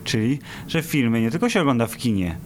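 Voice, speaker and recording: A man's voice: mid-range voice, very animated, fast talker, a little mellow. A man co-hosting an interview, speaking in an informal, wry and jokey conversational way. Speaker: co-host interviewer. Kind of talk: conversational, long informal lead-ins to questions. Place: recorded in a cinema. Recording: noticeable background noise.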